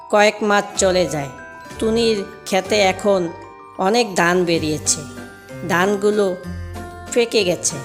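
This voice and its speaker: Woman narrating a fairy tale over music. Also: medium pitch.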